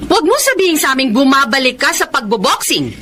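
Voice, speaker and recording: A woman's voice: high-pitched, sing-song, fast talker, pushed and bright, a little hiss or hum, heavily acted. An actress playing a stern, fearful, scolding mother in a Filipino radio drama, with a dramatic manner. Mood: angry, anguished.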